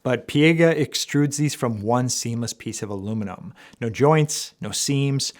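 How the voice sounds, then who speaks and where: A man with a mid-pitched voice delivers a product review in a measured, explanatory manner. He sounds like a reviewer working from prepared points, leaning on key words for emphasis. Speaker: audio equipment reviewer. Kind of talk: product review to camera, semi-scripted.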